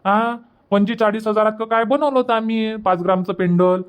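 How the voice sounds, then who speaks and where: Man voicing a high-pitched fretful young villager. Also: a little fast, clear, resonant tone, lightly acted. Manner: fretful, questioning, emphatic. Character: worried young village son, fretting over costs. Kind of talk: Marathi cartoon comedy dubbing.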